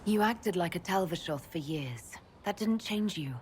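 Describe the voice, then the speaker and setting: A woman playing a firm, low-voiced elf leader. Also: animated, moderate pace, a little hiss or hum, close to an everyday voice. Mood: firm, reassuring. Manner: direct, earnest. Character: female elf mage leader, firm and caring. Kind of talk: video game cutscene dialogue.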